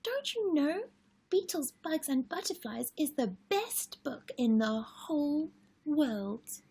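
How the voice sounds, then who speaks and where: Insistent bug-mad little girl, high and pleading, slow and drawn-out; a woman reader voices her. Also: big pitch swings, warm tone, full character voice. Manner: high, pleading, sing-song. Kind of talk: storybook read-aloud.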